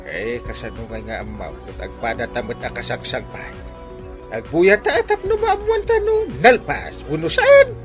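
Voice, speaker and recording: A performer playing an excitable, nosy village gossip in an Ilocano comedy radio drama, full of exclamations. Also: medium pitch, moderate pace, close to an everyday voice. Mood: eager. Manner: exclamatory, swooping delivery. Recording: music bed under the voice.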